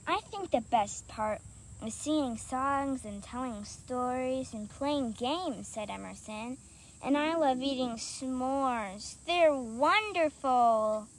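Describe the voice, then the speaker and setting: A female co-host with a high voice chatting casually and reading a children's storybook aloud in a deliberate way. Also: poor audio. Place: outdoors by a marshy trail. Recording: outdoor recording with clear insect and ambient noise.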